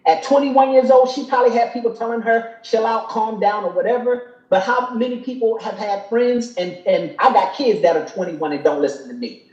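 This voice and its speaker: A man's voice: high-pitched, a little fast, hardly any pauses, a little mellow, echoey room, highs cut off. A man in his fifties on a live talk show, making emphatic points and tagging them with "okay?". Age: 50s. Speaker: guest.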